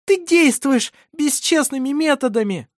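A young storybook heroine, as a reader puts her on, embattled and proud. Her voice leaps high as she accuses, crying out indignantly in a very dry recording. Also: lively intonation, a little slow, no echo.

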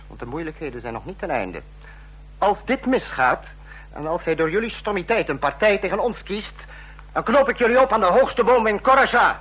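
A man's voice: high-pitched, a bit bright, slightly rough, heavily acted, poor audio. A man acting in a Dutch radio play as a stern, contemptuous commander with a cutting, threatening manner. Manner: cutting, sarcastic, authoritarian. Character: stern, contemptuous, menacing commander of a fascist state.

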